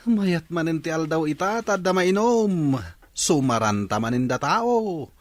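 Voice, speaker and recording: Man acting a carefree young village bachelor, lively and high-pitched. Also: a little slow, no echo. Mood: jovial scheming. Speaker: actor. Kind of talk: comedy radio drama.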